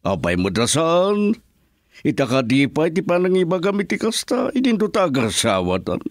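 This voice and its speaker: A man playing a smooth-talking wealthy husband in a radio drama, coaxing with drawn-out, theatrical delivery. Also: fairly high pitch, wildly swooping pitch, no room sound, clean studio sound. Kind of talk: Ilocano radio drama acting.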